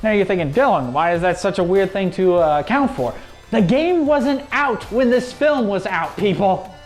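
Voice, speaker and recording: Male review co-host, high voice with big pitch swings, emphatic casual narration, light music bed. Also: animated, normal speed. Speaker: co-host of a gaming review show. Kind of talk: casual scripted review commentary. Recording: music playing under the voice.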